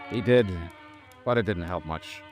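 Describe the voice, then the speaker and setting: An actor playing a brooding, hard-boiled insurance investigator in an old-time radio noir, terse and wry in dialogue and narration. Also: male voice, sits low, a little slow, darkish tone, soft background music. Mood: somber, reflective.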